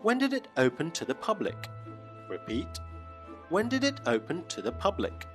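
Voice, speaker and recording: Very animated male English teacher. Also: fairly high pitch, faint music behind. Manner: slow, clearly modeled phrases for repetition. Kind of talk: scripted lesson narration.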